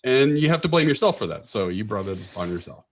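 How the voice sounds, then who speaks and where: A man's voice: fairly low pitch, very animated, quick pace. A man co-hosting a casual podcast, teasing his co-host and joking around. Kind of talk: casual banter.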